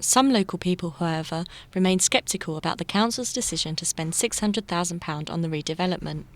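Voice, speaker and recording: A woman reporter reading a scripted news voice-over in a measured, even way. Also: lowish voice.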